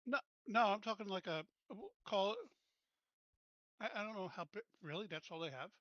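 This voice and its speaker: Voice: mid-range voice, normal speed, a bit bright, no room sound, muffled recording. A tabletop roleplaying player speaking casually, joking around and stumbling over words.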